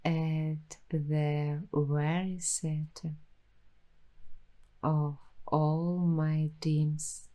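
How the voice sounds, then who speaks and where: A woman hosting an ASMR roleplay, speaking softly and reflectively in gentle, affirming words. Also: deep voice, flat delivery. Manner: soft, slow, reflective and affirming. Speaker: ASMR host.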